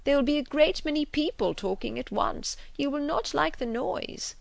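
A woman doing a fretful, fussy old gentleman. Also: sits high, animated, normal speed, gravelly, dry recording, voice pushed up, exaggerated voice. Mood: fussing. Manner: anxious, fussing, gently pleading. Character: fretful elderly gentleman, fussy invalid father.

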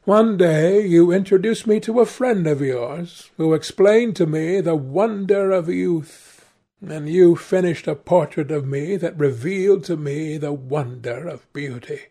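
A man voicing a vain, bitter young gentleman, passionate and accusing, in a theatrical, intense audiobook style. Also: a little high, measured pace, creaky, pitched above the performer's own voice. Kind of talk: dramatic audiobook character voice.

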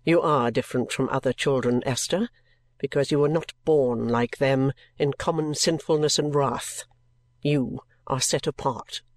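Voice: no room sound, lightly acted. A reader voicing a cold, severe old godmother. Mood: coldly stern. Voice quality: cold and low. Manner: slow, grave, unforgiving. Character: stern cold woman godmother, bitter and severe.

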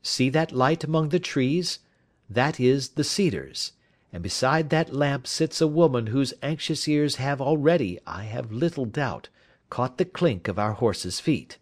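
A man's voice: medium pitch, animated, measured pace, pitched above the performer's own voice. A man playing an assured, courteous detective in an audiobook, speaking with precision. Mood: assured, explanatory. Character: brisk, assured male detective. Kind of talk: audiobook character voice.

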